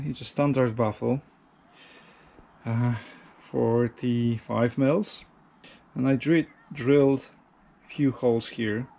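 A man casually narrating a DIY vlog in an informal, halting, self-deprecating way. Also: mid-range voice, vocal fry, a little mellow. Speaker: motorcycle hobbyist, video host.

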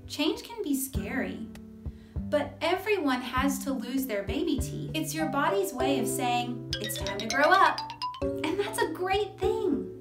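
Woman as young remora fish, storybook friend, kind, encouraging, high-pitched, roomy sound. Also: very animated, a little slow, loud music behind the voice. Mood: reassuring. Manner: gentle, encouraging, explanatory.

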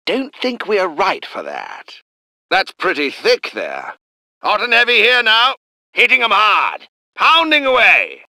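A clipped, proper British tank gunner. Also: male voice, fairly high pitch, pushed and bright, no echo, full character voice. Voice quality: clipped, formal. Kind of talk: video game unit voice lines. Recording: dry close studio recording.

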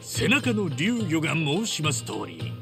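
An actor playing a brash, earnest ex-yakuza hero in a Japanese game dub, speaking with showy flourish. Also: male voice, fairly high pitch, very animated, a little slow, over music. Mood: showy, proud.

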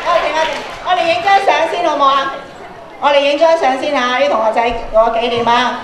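A woman's voice: high-pitched, fast, some chatter behind. A middle-aged woman with a Hong Kong Cantonese accent, speaking as an encouraging workshop leader to an audience through a handheld microphone on a stage. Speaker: workshop speaker, radio programme producer. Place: school auditorium stage. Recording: echoey hall through PA microphone.

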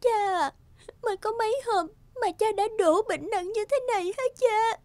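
A young cartoon princess, gentle and devoted. Her voice is extremely high and tender, full of feeling, recorded dry. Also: normal speed, no echo. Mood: distressed. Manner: tender, emotional. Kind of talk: animated folktale dubbing.

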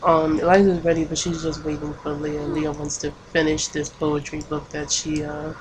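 A woman doing casual game commentary, keeping her voice hushed at night with bursts of excitement. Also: low voice, normal speed, noisy background. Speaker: let's play gamer. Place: home at night.

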